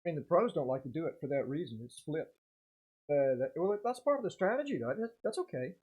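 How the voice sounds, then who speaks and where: Folksy baseball announcer, bit husky, chatty play by play, short little exclamations. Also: mid-range voice, expressive, fast talker, a little mellow. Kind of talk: casual live game commentary with chat.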